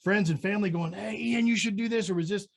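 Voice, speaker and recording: A man's voice: sits high, fast, vocal fry. A male radio host asking questions in a casual interview, eager and tripping over his words. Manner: enthusiastic, stumbling over questions.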